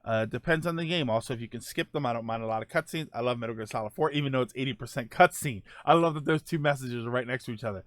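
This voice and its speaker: A man's voice: mid-range voice, very fast, hardly any pauses, dry recording. A man hosting a casual live stream, thinking aloud while reading viewer comments, often restarting his sentences. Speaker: streamer host reading chat.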